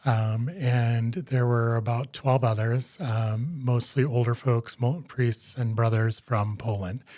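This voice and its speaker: A middle-aged man, a former priest, telling his story in an interview with many ums, restarts and quick affirmations. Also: mid-range voice, steady tone, dark tone, dry recording. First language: English.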